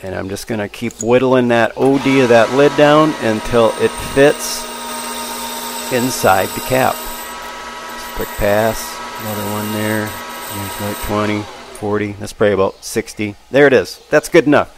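A man's voice: on the low side, a little slow. A man in his sixties casually narrating hands-on shop work in a folksy, plainspoken way. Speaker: machinist and channel host. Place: machine shop, indoors. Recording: clear machine and tool noise. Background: machinery and power tools.

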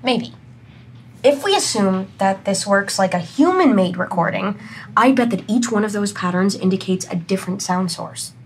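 A confident, exacting female analyst played by an actress in a naturalistic audio drama. She explains things assertively in a medium-pitched voice, with faint background noise. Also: expressive, normal speed, natural voice, rough recording. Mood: explaining.

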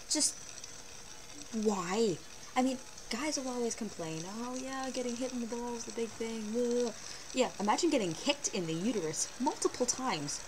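A teenage girl rants to her webcam in a casual, animated way, her voice sitting a little low for a girl. She talks at a medium pitch with sarcastic asides. The audio is a hissy built-in webcam recording from a small bedroom. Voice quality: fairly low voice for a woman. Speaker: vlogger. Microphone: built-in webcam mic.

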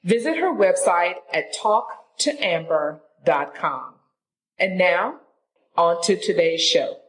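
A woman's voice: lowish voice, expressive, slow, rough recording. A woman reading a scripted promotional announcement as a podcast announcer.

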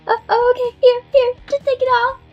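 Woman putting on a squeaky, very high meek shop clerk. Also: little pitch movement, fairly quick, a little mellow, muffled recording, soft background music. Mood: frightened. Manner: squeaky, timid. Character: bright-greeting female shop clerk, easily frightened, meek. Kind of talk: Roblox roleplay acting.

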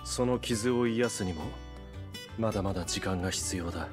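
A man acts a brooding mercenary hero in a fantasy video game. His voice is very low, his speech terse and restrained, with music playing behind. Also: normal speed, lightly acted. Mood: quiet concern. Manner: low-key, serious, restrained. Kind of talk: Japanese video game voice acting.

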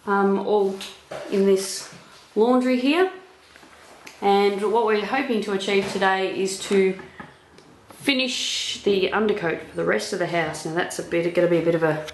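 A woman talks through the rooms in a chatty, upbeat way, full of little ums as she goes. Her voice sits at an ordinary middle pitch. It bounces around bare, empty rooms, and a faint hiss sits under the sound of the handheld camera. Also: unhurried, reverberant, low-quality recording. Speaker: property investor renovating a house. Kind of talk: walkthrough vlog narration. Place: empty house under renovation, indoors.

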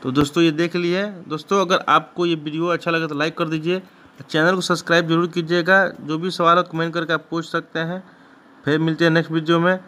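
A man's voice: on the high side, little pitch movement, a little fast, pushed and bright. A man, hosting his own channel and casually narrating footage from his goat shed in a rambling, repetitive way with frequent restarts. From Bihar, India. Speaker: goat farmer and channel host.

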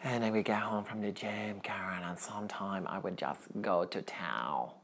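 A man's voice: moderate pace, fairly bright tone. An actor playing a naive young Colombian man in an apartment kitchen, speaking earnest broken English with a Colombian Spanish accent. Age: young adult. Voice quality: earnest, broken English, sudden pitch jumps. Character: naive male Colombian teacher with strong accent. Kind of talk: deadpan comedy sketch acting.

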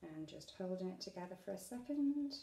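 A woman's voice: lowish voice, expressive, vocal fry, warm tone. A middle-aged British woman casually presenting a hands-on craft demonstration, talking as she works with her hands. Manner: unhurried, talking while working with her hands. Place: indoors at a dining-room table at home. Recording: echoey domestic room.

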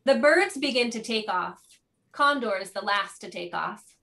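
A woman's voice: mid-range voice, lively intonation, measured pace, gravelly, sharp tone. A woman reading stage directions as the narrator of a play, in scripted narration.

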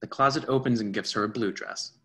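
A man's voice: lowish voice, fast, sharp tone. A man reading stage directions as scripted narration for a play reading on a video call. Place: indoors at home, on a video call.

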